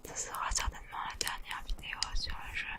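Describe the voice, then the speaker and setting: A woman hosting a gaming video in whispered ASMR style, speaking in a hushed, soothing manner. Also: quick pace.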